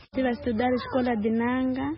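Young female interviewee, slow plain answers, lo-fi. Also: high-pitched, level tone, slight background noise. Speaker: displaced student interviewee. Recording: dull recording.

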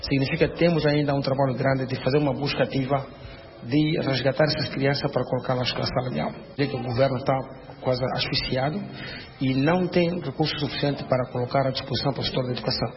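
A man speaking as an education advocate in a quick, emphatic interview statement. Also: mid-range voice, steady tone. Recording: dull recording.

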